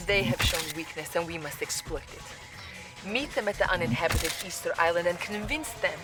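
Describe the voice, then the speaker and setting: An actress playing a poised, earnest Soviet intelligence officer with a Russian accent, giving dramatic military briefings in a video game. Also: female voice, lowish voice, moderate pace, close to an everyday voice. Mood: resolute. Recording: loud music behind. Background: loud music.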